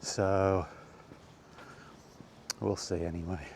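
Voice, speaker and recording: A man's voice: deep voice, level tone, very slow, a little mellow, slight background noise. A man vlogging casually about gaming in a rambling, plain-spoken way while out walking, recorded on a small wireless mic.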